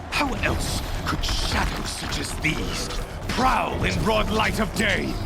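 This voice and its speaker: A man playing a fanatical high priest in a video game, proclaiming to his faithful with grandiose, theatrical fervour. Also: vocal fry, exaggerated voice. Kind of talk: video game cutscene oratory. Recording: voice under loud music.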